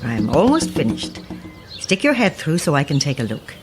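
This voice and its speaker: Creepy old witch, low voice that swoops around, taunting, horror audio drama, noisy background. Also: wildly swooping pitch. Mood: bossy, sly. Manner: menacing, sing-song, drawn-out taunting. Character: sinister, taunting old witch who lures and cages her guests.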